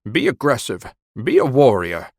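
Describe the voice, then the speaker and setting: A man's voice: medium pitch, big pitch swings, a little slow, darkish tone, no room sound, studio quality, voice pushed up. A man doing a blunt veteran sergeant. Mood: gruff. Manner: blunt, gruff. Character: blunt veteran male sergeant, gruff trainer.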